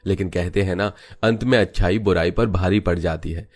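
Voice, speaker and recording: A man's voice: low-pitched, moderate pace. A man narrating a scripted moral story as a storyteller.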